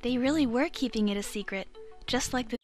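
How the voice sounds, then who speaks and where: A woman's voice: a little high, fairly quick, faint music behind, lightly acted. A woman voicing a cheerful, curious girl magician in a fan-dubbed video game. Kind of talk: fan dub of a visual-novel video game.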